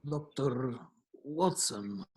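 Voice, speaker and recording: A man's voice: mid-range voice, lively intonation, drawn-out words, a little mellow. Guy doing a creepy arch villain, fake sympathy, mid voice, comic radio play. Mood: menacing.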